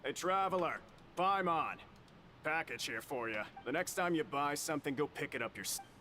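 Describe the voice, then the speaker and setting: A man's voice: a little high, expressive, normal speed, sharp tone, a little hiss or hum. A man voicing a curt, irritated messenger in a video game.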